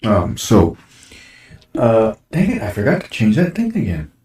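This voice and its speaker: A man in his forties, hosting a casual chat show, his voice a little raspy from dust, rambling along with lots of fillers. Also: fairly low pitch, wildly swooping pitch, a little slow, a little mellow. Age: 40s. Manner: rambling, with many fillers and restarts. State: slightly raspy from breathing dust. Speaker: show host. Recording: roomy echo.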